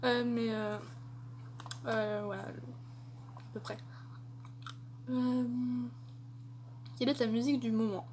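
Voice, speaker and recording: Chatty young woman, slightly high voice. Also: level tone, takes it slowly, long pauses, a little mellow, a little hiss or hum. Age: young adult. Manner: chatty, hesitant, many fillers and laughs. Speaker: vlogger. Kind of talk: casual Q&A tag video.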